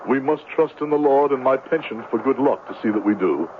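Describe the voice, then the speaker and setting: An American actor playing a pompous, silver-tongued phony reverend in florid old-time radio drama style. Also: male voice, medium pitch, animated, brisk, natural voice. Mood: smooth persuasion. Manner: florid, theatrical, pompous oratory. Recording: noisy, tinny vintage radio broadcast.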